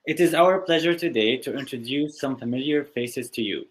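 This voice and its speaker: A man's voice: mid-range voice, moderate pace, rough recording. A man from Syria with a Syrian Arabic accent, co-hosting and reading a friendly introduction with small hesitations. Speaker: co-host. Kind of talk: scripted introduction.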